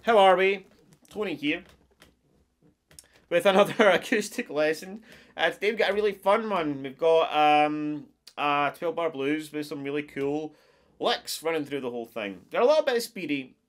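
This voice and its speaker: A man in his forties with a stuffed-up nose, giving a relaxed step-by-step guitar lesson from a small wood-paneled room. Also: fairly high pitch, moderate pace. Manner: friendly, explains step by step with counts. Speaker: guitar teacher. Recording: acoustic guitar playing throughout.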